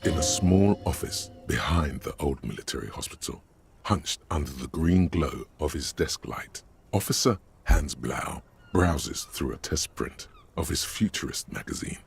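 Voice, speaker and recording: An actor voicing a brooding, all-knowing inner voice in a game, solemn and literary in manner. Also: male voice, very deep voice, lively intonation, a little slow, creaky, slightly rough, heavily acted. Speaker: game narrator. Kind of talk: scripted game narration.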